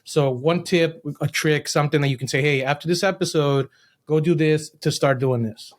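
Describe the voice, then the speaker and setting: Higher-pitched American man hosting casually. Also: moderate pace. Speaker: podcast host, interviewer. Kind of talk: casual interview chat.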